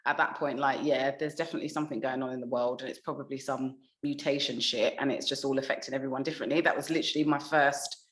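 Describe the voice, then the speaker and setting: A woman's voice: extremely low pitch, fast, few pauses, roomy sound. A young woman from England, a radio presenter, chatting candidly and telling stories with plenty of swearing. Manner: candid, animated storytelling with swearing and laughter. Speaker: radio presenter, podcast guest. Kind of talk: casual chat.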